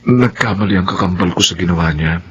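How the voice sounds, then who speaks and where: A man's voice: low-pitched, fast, fairly bright tone, dull audio, slight background noise. An actor playing an earnest young man in a radio drama, often stammering as he explains himself. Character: earnest young man, flustered then patient. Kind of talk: Filipino radio drama acting.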